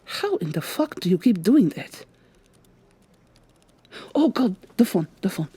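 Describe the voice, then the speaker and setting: A woman's voice: mid-range voice, big pitch swings, unhurried, a little hiss or hum. A woman playing a weary, sardonic vampire receptionist with a secret, acting in a scripted ASMR roleplay. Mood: hushed, alarmed. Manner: polite customer-service patter, hushed urgent asides.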